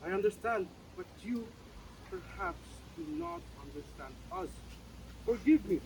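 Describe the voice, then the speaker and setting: A man's voice: sits high, very animated, unhurried, darkish tone, exaggerated voice. An actor in a dramatic play reading, playing an earnest, philosophical man with a formal, emphatic, argumentative manner. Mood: earnest, polite. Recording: distant mic, faint background noise.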